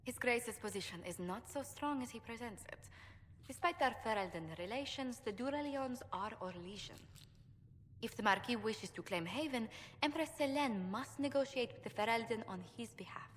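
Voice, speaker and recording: A woman's voice: on the high side, lively intonation, normal speed, close to an everyday voice. An actress voices a courtly female ambassador in a fantasy video game. She is composed and diplomatic, choosing her words formally. The voice rings in a large hall with a little noise behind it. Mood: composed, tactful. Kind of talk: video game dialogue acting. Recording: long hall reverb, faint noise.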